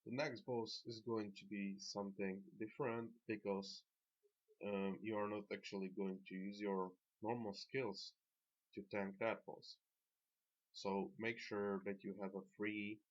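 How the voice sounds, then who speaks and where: Low, monotone non-native man, muffled sound. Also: measured pace. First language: not English. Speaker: game guide narrator. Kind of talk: instructional gameplay commentary. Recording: dull, lo-fi microphone sound.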